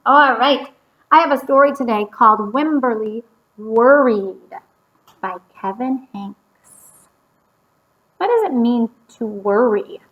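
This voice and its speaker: A woman reading a story aloud to young children, pausing to explain and ask them simple questions. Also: a little high, lo-fi sound.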